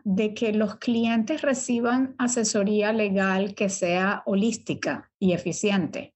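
Podcast guest: woman, 40s, Venezuelan accent, husky, measured and explanatory. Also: medium pitch, a little mellow. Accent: Venezuelan Spanish. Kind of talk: podcast interview.

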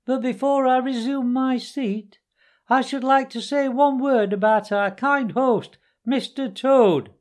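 A man voicing a gloating weasel chief in a high, squeaky voice, making mock-courteous speeches for a storybook. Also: fairly even intonation, slow. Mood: mock-courteous, sneering. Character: gloating weasel chief, mocking after-dinner orator and singer.

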